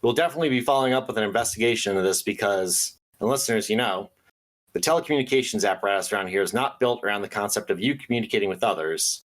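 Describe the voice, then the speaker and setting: An actor playing an earnest small-town radio host who speaks in a conspiratorial, hesitant way with dry asides. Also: male voice, lowish voice, quick pace, natural voice, poor audio. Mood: ominous, earnest. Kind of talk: audio drama radio call-in hosting.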